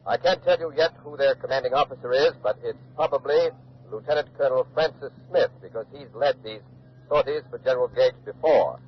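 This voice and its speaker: A man's voice: mid-range voice, brisk, close to an everyday voice. A man acting an earnest on-the-scene reporter in a 1940s radio docudrama, describing events in an articulate eyewitness style. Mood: measured, observant. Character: earnest male radio field reporter at a colonial standoff. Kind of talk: 1940s radio docudrama live news commentary. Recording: dull old radio recording with hiss.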